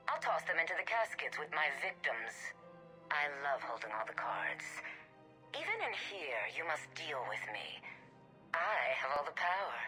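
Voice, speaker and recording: A woman's voice: low-pitched, sing-song, moderate pace, pushed and bright, soft background music, heavily acted, rough recording. A woman playing a ruthless, domineering imprisoned mercenary boss in a video game, menacing and relishing her power. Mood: gloating.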